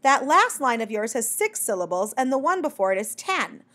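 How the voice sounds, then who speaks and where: A woman's voice: on the high side, big pitch swings, moderate pace, fairly bright tone. A woman voicing a conceited, cordial male mockingbird poet in a storybook, with a pompous, emphatic manner. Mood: pedantic.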